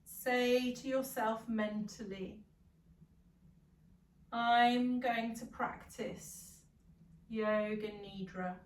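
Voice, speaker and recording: Very slow-speaking woman guiding meditation. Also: fairly high pitch. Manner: calm, soothing, gentle instruction with long pauses. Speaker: yoga nidra meditation guide. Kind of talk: guided meditation. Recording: roomy echo, distant from the mic.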